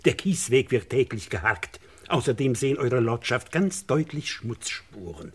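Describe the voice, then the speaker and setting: An actor playing a meticulous, deferential gardener with a husky voice in a German radio crime play. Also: male voice, mid-range voice, big pitch swings, unhurried. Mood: deferential, grave. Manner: servile, careful.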